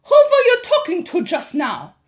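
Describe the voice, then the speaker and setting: A woman voicing a cruel, imperious female witch leader who snaps her commands loudly. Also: very high-pitched, very animated, normal speed, pitched above the performer's own voice. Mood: suspicious. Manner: snapping, commanding, loud.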